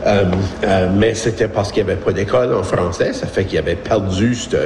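A man in his fifties speaks informally to a group with an Acadian French accent. He is reflective and halting, rephrasing as he goes. His voice sits a little low, in a long hall reverb with faint noise. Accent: Acadian French of Prince Edward Island. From Evangeline region, Prince Edward Island, Canada. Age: 50s. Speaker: school board employee, former principal. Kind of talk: informal speech at a community discussion.

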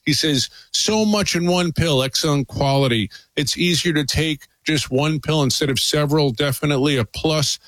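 A man's voice: fairly high pitch, moderate pace, hardly any pauses, fairly bright tone, no echo. A man appearing as a doctor guest on a radio program, talking casually with fillers while praising a product. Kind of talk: radio interview pitch.

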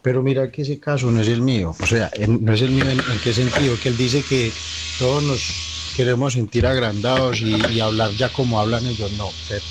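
A man's voice: medium pitch, steady tone, a little fast. A man with a Colombian accent calling into a radio show, earnest and repeating his points for emphasis. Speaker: caller. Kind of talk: phone-in comment. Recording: noisy phone call.

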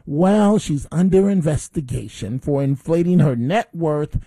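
A man's voice: on the high side, measured pace, mellow, no echo. A man hosting a talk radio show, rambling and combative, speaking on a studio microphone.

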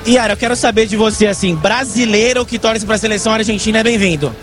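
A man reporting live from an outdoor street party, upbeat and quick. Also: high voice, music in the background. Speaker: field reporter.

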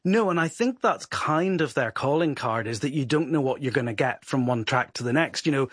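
A man, a guest reporter, explaining at length in a steady, informed way on a podcast. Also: medium pitch, brisk, husky, no echo, studio quality.

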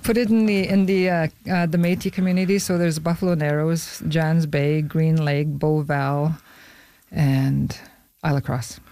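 A woman from northern Saskatchewan, a native Michif speaker, talking casually and thoughtfully as an interview guest, pausing mid-sentence. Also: low voice, level tone, warm tone, dry recording. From northern Saskatchewan, Canada. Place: studio.

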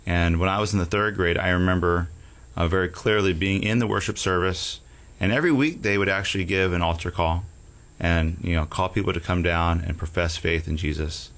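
Guy with a really low voice, telling his faith story, lots of uhs and restarts. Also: fairly even intonation, a bit bright. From Houston, Texas. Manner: halting, with fillers and restarts. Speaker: guest. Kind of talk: casual testimony interview.

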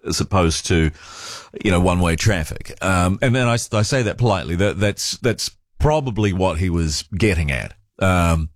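A man with a New Zealand accent hosting late-night talkback radio, speaking in a measured, polite way with hesitant restarts. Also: low-pitched, animated, no room sound, clean studio sound.

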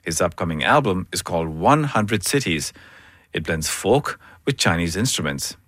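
Low, lively radio host, dry sound. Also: male voice, moderate pace, no echo. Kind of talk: scripted radio presenting.

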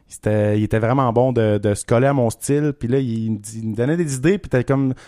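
A young man with a Québécois French accent, a country singer telling about his songs in a relaxed, halting radio chat with many restarts. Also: medium pitch, dry recording, clean studio sound. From Quebec. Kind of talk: casual radio interview.

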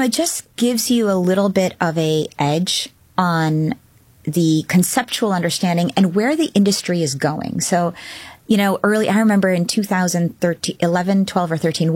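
A woman analyst answers interview questions in a thoughtful, halting way. Her voice is low, and the recording is dry and close. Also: a little mellow, dry recording.

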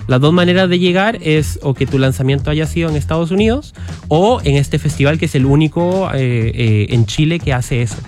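A young man from Costa Rica talks as a guest on a radio show, slightly high-pitched and halting, full of fillers and restarts. Faint music plays underneath. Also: expressive, a little mellow. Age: young adult. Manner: hesitant, many fillers and restarts. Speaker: filmmaker guest. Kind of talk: radio interview answers.

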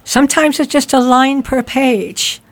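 Woman, radio host, studio interview, slow, fairly high voice, conversational.

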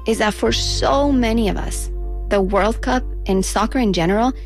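A woman's voice: a little high, normal speed, faint music behind. A woman from the United States narrating a scripted personal essay as reporter, in a reflective, intimate storytelling manner.

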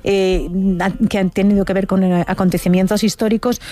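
A woman with an accent from Spain presenting a conversational radio interview in an effusive, expressive way. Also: medium pitch, a little fast. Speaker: radio show host.

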